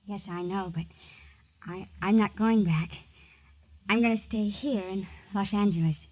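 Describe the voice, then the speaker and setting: Young small-town girl for radio noir , female actor: mid-pitched, gentle, courteous, hesitant but determined. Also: measured pace, close to an everyday voice. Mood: quiet, resolved. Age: young adult. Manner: soft, hesitant, polite. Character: earnest young country girl, quietly resolved. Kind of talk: 1950s radio drama acting.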